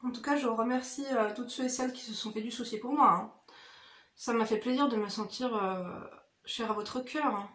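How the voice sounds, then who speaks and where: Woman, higher-pitched voice, informal makeup-chat vlogger, direct and slangy with the audience, recorded in a noticeably echoey room. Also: normal speed, fairly bright tone. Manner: familiar, slangy, addresses viewers directly with frequent "hein" tags. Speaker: beauty vlogger. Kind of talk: casual chatty get-ready-with-me makeup chat. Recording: roomy, echoey indoor sound.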